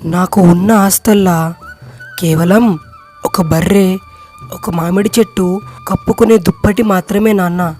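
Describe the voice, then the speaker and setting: A performer acting male family roles in a moral story, with deliberate phrasing and pauses. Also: medium pitch, fairly even intonation, dark tone, natural voice. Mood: gentle, solemn. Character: dying loving father, and selfish cunning elder brother. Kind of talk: acted story dialogue. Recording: music under the voice. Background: music.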